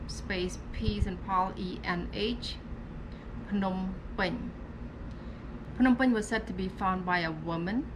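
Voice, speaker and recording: A woman from Cambodia with a Cambodian accent and Khmer as her first language, explaining things informally and a little haltingly as a travel vlogger. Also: fairly low pitch. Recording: clearly noisy background.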